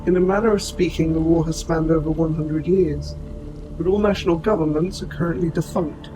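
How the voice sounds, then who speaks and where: A man playing a gentle, protective robot soldier in an audio roleplay, speaking to the listener in formal, precise, measured wording. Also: a little high, fairly even intonation, lightly acted, rough recording. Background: music.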